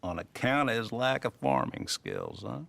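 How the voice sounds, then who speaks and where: An actor playing a smug small-town banker in an Old West video game, with an American accent and a wry, teasing, patronizing manner. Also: male voice, medium pitch, wildly swooping pitch, normal speed, vocal fry, fairly bright tone, heavily acted. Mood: amused, skeptical. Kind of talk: video game western acting.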